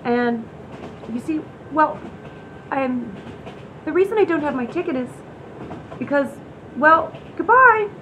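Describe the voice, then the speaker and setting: Here is a scatterbrained, evasive lady passenger played by a woman in a radio comedy. Her voice is high and swings up and down with cheeky energy. The recording is muffled, with train rumble behind. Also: animated, slow, pauses often.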